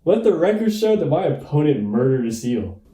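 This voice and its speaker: Young man as a blustering, very mellow-voiced schoolboy politician. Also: fairly high pitch, sing-song, normal speed, reverberant. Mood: smug. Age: young adult. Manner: folksy, bombastic politician delivery. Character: smug, blustering schoolboy candidate for class president. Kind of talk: comic radio play acting.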